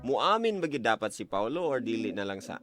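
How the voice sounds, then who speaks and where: A man's voice: mid-range voice, very animated, a little fast. A man with a Cebuano Filipino accent hosting a radio call-in, chatting with callers and prompting them with questions. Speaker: radio show host taking listener calls. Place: radio studio. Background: music bed.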